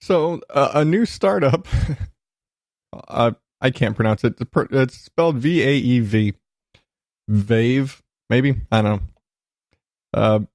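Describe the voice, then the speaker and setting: A man's voice: medium pitch, slow, long pauses, a little mellow, no echo, studio quality. A man hosting a solo podcast, talking casually and informally in a self-deprecating, sweary, rambling way. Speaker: podcast host.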